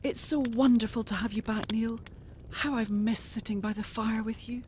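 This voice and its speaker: An actress playing a gentle, devoted, worried wife in an audio drama, soothing and earnest. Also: female voice, on the high side, fairly even intonation, normal speed, slight background noise, close to an everyday voice. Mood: tender, worried. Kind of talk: full-cast audio drama acting.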